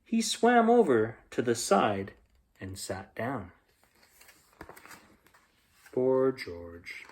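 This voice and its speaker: A man reading a children's bedtime story aloud, expressively, with dramatic emphasis and pauses. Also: medium pitch, huge pitch swings, a little mellow, a little hiss or hum.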